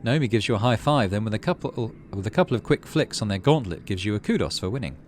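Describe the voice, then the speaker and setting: A man's voice: lowish voice, expressive, quick pace, darkish tone, soft background music. A man giving casual gaming commentary, reading game text aloud and muttering as he thinks. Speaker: let's play host.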